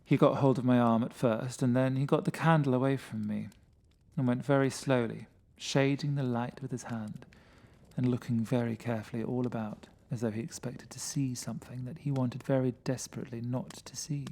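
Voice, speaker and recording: A man with a mid-pitched voice reading a first-person gothic story as dramatic narration. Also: steady tone, moderate pace, darkish tone. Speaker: story reader.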